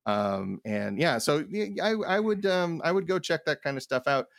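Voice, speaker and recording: A man chatting casually as a podcast co-host, rambling and haltingly repeating words as he thinks aloud. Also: a little high, animated, gravelly, no echo, clean studio sound. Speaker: podcast co-host, art professor.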